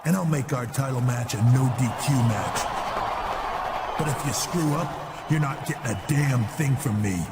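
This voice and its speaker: A man's voice: mid-range voice, normal speed, long pauses, over loud music, lightly acted. A man voicing an arrogant wrestling champion who taunts and threatens his challenger in a video game cutscene. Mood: cocky, threatening. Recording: noisy game cutscene audio.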